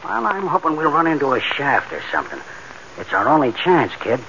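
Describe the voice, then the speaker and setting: An actor playing a tough, take-charge bank robber in a 1940s radio drama, with colloquial, commanding, streetwise talk. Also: male voice, on the high side, huge pitch swings, fairly quick, sharp tone, full character voice. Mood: urgent, determined. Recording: muffled, noisy old radio recording.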